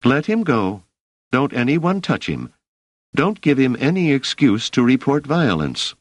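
A man voicing a cautious, sharp-tongued young woman who speaks firmly and gives orders, in a storybook reading. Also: medium pitch, sing-song, a little slow, no room sound, pitched above the performer's own voice. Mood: stern. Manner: firm, commanding.

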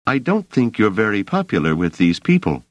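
A man voicing a cautious, sharp-tongued young woman who speaks firmly and gives orders, in a storybook reading. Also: lowish voice, big pitch swings, moderate pace, no echo, voice pushed up. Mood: stern. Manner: firm, commanding.

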